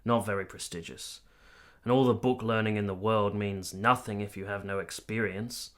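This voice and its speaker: A man's voice: on the low side, steady tone, normal speed, natural voice. An actor playing a cynical, bitter soldier captain in his late twenties in a period radio drama, dry and argumentative. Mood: wry, resigned. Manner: dry, argumentative, matter-of-fact.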